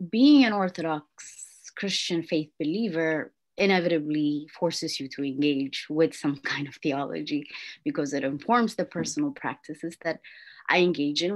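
A young adult woman from Ethiopia, an anthropologist speaking on a video-call panel in a measured, thoughtful way with some restarts. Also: low voice. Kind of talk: academic panel talk. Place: small room at home. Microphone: laptop video call.

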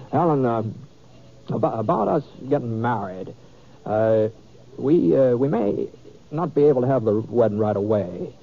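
An actor playing a bashful, easygoing cowboy in a 1950s radio western, speaking in a halting, folksy, hesitant way. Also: male voice, mid-range voice, lively intonation, creaky. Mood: sheepish, evasive. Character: bashful, stammering, easygoing cowboy stalling a wedding. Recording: muffled, tinny 1950s radio recording.

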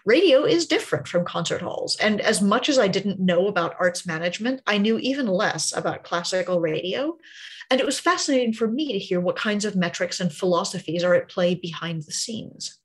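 A woman hosting a music podcast, reading a clear, articulate introduction and then interviewing her guest. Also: mid-range voice, animated, a little fast. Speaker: podcast host.

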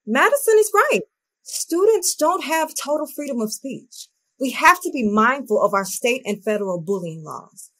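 A woman playing a measured, rule-minded school principal in a scripted dramatization. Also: sits high, big pitch swings, bright, forceful tone, slightly rough, exaggerated voice. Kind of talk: scripted dramatization on video call. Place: home room, video call.

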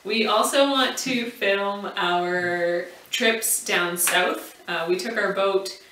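A woman in her thirties, co-hosting a sailing vlog at home, chatty and quick to laugh. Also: medium pitch, pushed and bright, a little hiss or hum. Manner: chatty, laughs easily, playful. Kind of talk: casual chat. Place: living room at home, indoors. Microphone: far camera. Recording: echoey room, mic at a distance.

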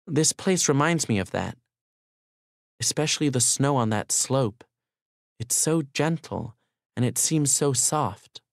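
A man voicing a gentle, wistful young woman in an audiobook, in a soft, subdued manner. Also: medium pitch, no room sound, clean studio sound.

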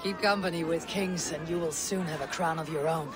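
A determined Viking warrior acted by a man in a video game. The voice is slightly high for a man and measured, with outdoor noise behind it. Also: fairly even intonation, crowd noise, close to an everyday voice. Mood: warm respect.

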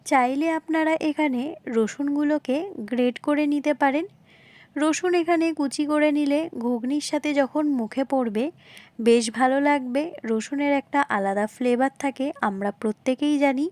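A woman with a high voice gives a recipe voice-over. She explains each point politely, often restating it, and speaks straight to her viewers. The sound is close and dry. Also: normal speed, a little mellow, no echo. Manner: polite, repetitive explaining, addressing viewers directly. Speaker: home cooking video host. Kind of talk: recipe narration, instructional voice-over.

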